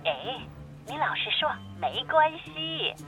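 A woman's voice: high-pitched, sing-song, takes it slowly, over music, full character voice. A woman playing a nagging, melodramatic middle-aged mother in a comedy radio drama, heard through a telephone filter. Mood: eager, coaxing. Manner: wheedling, dramatic, chatty. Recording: tinny band-limited phone-call sound.

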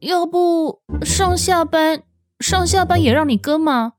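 A woman's voice: very high voice, takes it slowly, music in the background, voice pushed up. A woman voicing a flustered, whiny young heiress who stammers and pleads in an audiobook drama. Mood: pleading.

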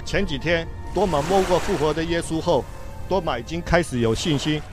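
An actor playing a steady, chiding old fisherman in a biblical radio drama, with a theatrical, admonishing delivery. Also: a little high, a little fast, over music, close to an everyday voice. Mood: impressed.

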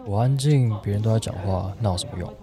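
A man playing a wordy, lovestruck high school boy in a radio drama, given to mock-solemn, theatrical turns. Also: fast talker, mellow, lightly acted. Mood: defensive. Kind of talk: radio drama dialogue and inner monologue. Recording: music behind the voice.

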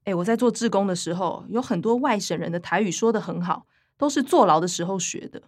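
An actress playing an earnest, fussy young younger sister in a radio drama, with a Taiwanese Mandarin accent. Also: female voice, medium pitch, expressive, fairly quick, no room sound, studio quality, close to an everyday voice. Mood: earnest explaining.

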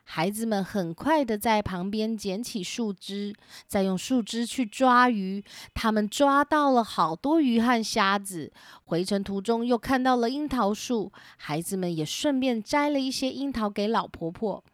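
Lady reading a kids story, gentle and clear, dry close home mic. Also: fairly high pitch, unhurried, dry recording. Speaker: storyteller host. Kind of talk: children's story narration. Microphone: simple home recording setup.

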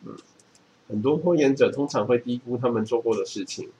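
A man's voice: medium pitch, a little fast, dark tone, slight background noise. A man, reading from a book and explaining it in a casual chat. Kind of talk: reading a book aloud and chatting about it.

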